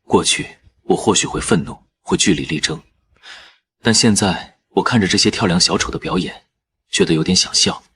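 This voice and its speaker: A man with a very low voice tells a first-person story calmly and evenly. Faint noise hums behind him. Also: normal speed, creaky. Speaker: narrator.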